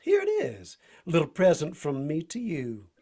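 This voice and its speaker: An actor playing a folksy, easygoing cowboy sidekick with a Southern US drawl and husky voice, in a vintage radio drama. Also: male voice, fairly high pitch, wildly swooping pitch, moderate pace, dark tone. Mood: breezy, boastful. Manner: drawling folksy slang with chuckles. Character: folksy, easygoing Texan-style cowboy sidekick, tough but playful.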